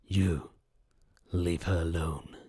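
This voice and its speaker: A man's voice: sits low, fairly even intonation, slow, mellow, husky, dry recording, clean studio sound, heavily acted. A man voicing a stern, terse male swordsman in a dramatic fantasy story, grave in manner, with music behind. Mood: cold anger. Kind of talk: dramatic storybook character acting.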